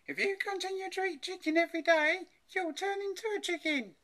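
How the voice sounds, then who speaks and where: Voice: very high-pitched, fairly quick, sharp tone, voice pushed up. A storyteller voicing a bossy, over-dramatic mother in a comic children's story. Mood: warning. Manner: exclaiming, over-dramatic, stammering in shock. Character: shrill, bossy, melodramatic mother.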